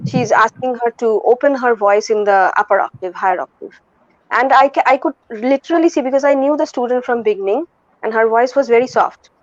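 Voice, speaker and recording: Soft-voiced Indian woman in her forties. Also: a little high, fairly quick, dry recording. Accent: Indian English. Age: 40s. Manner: thoughtful, hesitant with many fillers and restarts. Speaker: guest vocalist and music teacher. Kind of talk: candid conversational interview. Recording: dull lo-fi dry recording.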